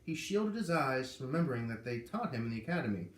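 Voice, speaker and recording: A man reading a children's novel aloud, lively and putting on voices for the characters. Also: medium pitch, quick pace, distant mic. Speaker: reader. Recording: echoey room with faint ambient noise.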